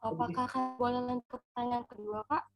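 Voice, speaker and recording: A woman's voice: fairly high pitch, level tone, quick pace, vocal fry, no room sound, faint music behind. A young woman moderating a class debate over a video call, polite and formal.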